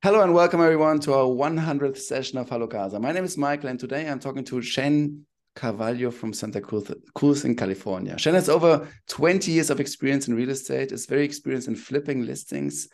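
A man's voice: medium pitch, a little mellow. A man hosting an interview show, greeting his guest and asking questions in a friendly, hesitant way with fillers. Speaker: interview host.

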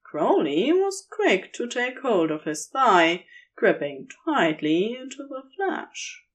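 A woman reading intimate fiction as a narrator, in a measured, expressive storytelling manner. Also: a little high. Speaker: audiobook-style podfic narrator.

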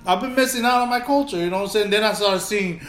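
A man of Puerto Rican family chatting on a podcast, animated and fond of "you know what I'm saying". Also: high-pitched, quick pace. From Puerto Rican family, raised in Houston. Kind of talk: casual chat. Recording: echoey room.

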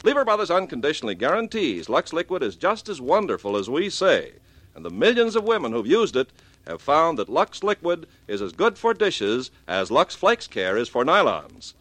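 A man's voice: a little high, wildly swooping pitch, normal speed, a bit bright, no room sound. A man announcing a commercial in a polished 1950s radio sales style. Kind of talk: 1950s radio advertisement read.

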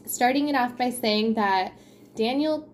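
A woman's voice: fairly high pitch, measured pace, a little hiss or hum. A woman co-hosting a podcast, chatting casually about sports news in an informal, slangy way. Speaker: podcast co-host.

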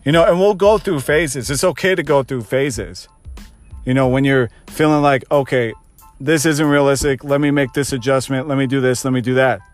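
A man's voice: mid-range voice, moderate pace, faint music behind. A man of thirty, a fitness coach, hosting his own podcast and giving an earnest motivational pep talk that repeats phrases for emphasis. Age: 30s.

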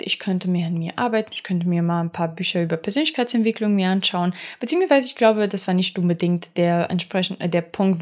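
A young adult woman, not a native German speaker, talking in a casual, rambling podcast monologue full of fillers and restarts. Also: fairly low pitch.

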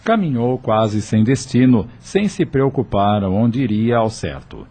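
A man's voice: medium pitch, very animated, measured pace, warm tone, slightly rough. A man with a Brazilian accent giving scripted dramatic narration for a radio serial.

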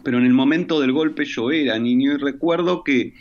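A man, answering interview questions in a measured, reflective way and checking in with "¿no?". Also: mid-range voice, darkish tone. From Argentina. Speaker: guest. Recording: muffled lo-fi audio.

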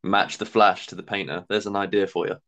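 Brief: thirties male guest, lowish voice, easygoing and slangy. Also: flat delivery, a little fast, a bit bright. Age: 30s. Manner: laid-back, laughs easily, slangy. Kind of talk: casual chat.

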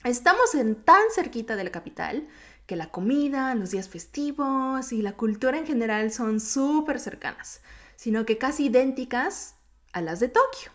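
A woman hosts her own podcast alone, talking in a chatty, informal way with a lilting Mexico City accent. Japanese is her first language, and her voice sits a little higher than most. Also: animated, moderate pace. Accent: Mexico City Spanish. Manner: chatty and informal, slightly sing-song. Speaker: podcast host. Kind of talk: solo podcast monologue.

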